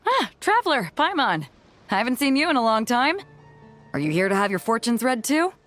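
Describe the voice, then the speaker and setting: An easygoing, proud woman captain, talking with swinging, expressive pitch. She sounds hearty and sure of herself in a conversational way, over light background music. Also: a little fast. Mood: cheerful, breezy. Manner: breezy, confident, conversational with chuckles. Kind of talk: video game voice acting. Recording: music bed under the voice.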